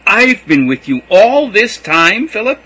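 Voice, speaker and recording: A man voicing Jesus as a gentle teacher saying farewell to his students, earnest and measured. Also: medium pitch, lively intonation, slightly rough, full character voice. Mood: impassioned. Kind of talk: reading a gospel aloud.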